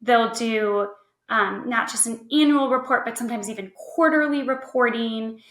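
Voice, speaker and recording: A woman speaks as an expert guest in a podcast conversation. Her voice sits fairly high and has a very bright edge. She explains step by step, checking in as she goes, in a noticeably roomy recording. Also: moderate pace. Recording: roomy, echoey voice track.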